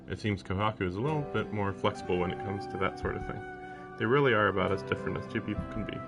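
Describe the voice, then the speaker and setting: A man with a low voice reads visual novel narration aloud on a game stream. Game music plays clearly behind him.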